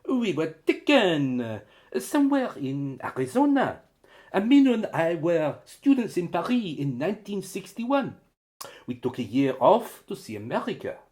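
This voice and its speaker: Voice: mid-range voice, big pitch swings, a little slow, darkish tone. An actor playing an eager, helpful foreign former student stranded as an exile in a radio play, explaining earnestly. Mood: dramatic recounting.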